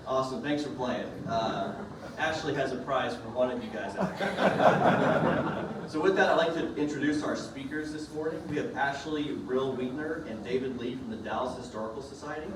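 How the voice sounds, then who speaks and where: A man's voice: mid-range voice, normal speed. A man hosting a club meeting, introducing the speakers in an upbeat, friendly way. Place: large meeting room. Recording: echoey room from far off with background noise.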